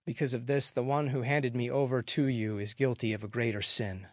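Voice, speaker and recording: A man playing a calm, solemn holy man on trial in a dramatic scripture reading, earnest and measured. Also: medium pitch, no room sound, studio quality, natural voice. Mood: quiet, firm.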